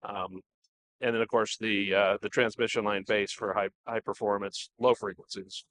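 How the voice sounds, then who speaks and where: Low-pitched man presenting products. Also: level tone, normal speed, a bit bright. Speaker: company representative presenting products. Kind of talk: unscripted product presentation at an audio show.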